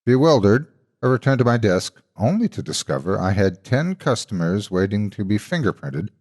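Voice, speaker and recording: Male horror narrator, very low register, dramatic scripted storytelling delivery. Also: sing-song, moderate pace, darkish tone.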